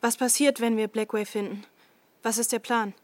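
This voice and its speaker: A woman's voice: fairly high pitch, fairly even intonation, normal speed, darkish tone, dry recording, natural voice. An actress playing an anxious young woman in an audio drama, questioning and insistent. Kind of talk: German audio drama acting.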